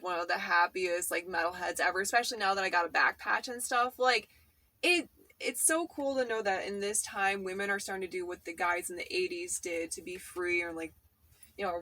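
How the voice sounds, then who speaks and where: A woman's voice: medium pitch, bright, forceful tone, no echo. A woman hosting a hobby vlog, chatting casually and enthusiastically in a gushing, informal, sweary way.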